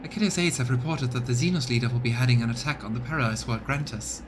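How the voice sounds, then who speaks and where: A man doing live let's play commentary, reading game text aloud and thinking out loud for his viewers. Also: mid-range voice, fast talker, background noise. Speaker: live streamer voicing a game playthrough.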